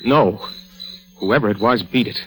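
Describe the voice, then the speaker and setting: An American actor playing an evasive, rattled husband in a 1940s radio thriller, speaking in clipped, hesitant phrases. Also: male voice, on the low side, measured pace, faint music behind, natural voice, low-quality recording. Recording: vintage radio recording.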